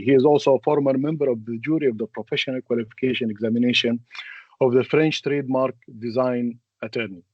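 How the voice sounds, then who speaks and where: A man, a native Arabic speaker, moderating a webinar and introducing speakers in a halting, hesitant way. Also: medium pitch, no echo, highs cut off.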